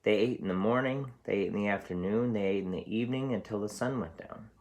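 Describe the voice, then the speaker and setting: A man's voice: fairly low pitch, fast talker, darkish tone, dull audio. A man reading a storybook aloud as a teacher, explaining things simply for young children.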